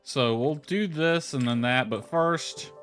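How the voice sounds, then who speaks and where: A man's voice: on the high side, slow pace, a bit bright. A man giving casual live gameplay commentary, rambling and thinking aloud as he goes. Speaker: game streamer. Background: background music.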